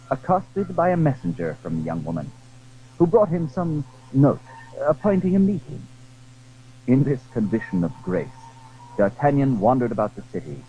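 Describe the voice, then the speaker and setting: A man narrating a period adventure story in a measured, literary, dramatic style. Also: on the low side, darkish tone, low-quality recording.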